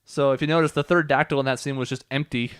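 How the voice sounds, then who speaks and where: Fast-talking man chatting over a game. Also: medium pitch, steady tone, fairly bright tone, clear, resonant tone, no echo, clean studio sound. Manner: explanatory, conversational. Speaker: let's play host. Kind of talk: casual video game commentary.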